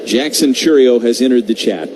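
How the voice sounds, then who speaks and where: A man doing live baseball play-by-play on radio, talking in measured short phrases with conversational asides. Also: mid-range voice. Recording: clearly noisy live radio broadcast.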